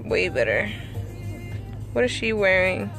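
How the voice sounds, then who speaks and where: A woman's voice: medium pitch, sing-song, unhurried. A young adult woman chatting casually on a vlog recorded on a phone. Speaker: vlogger. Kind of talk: casual chat. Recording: music behind, phone recording.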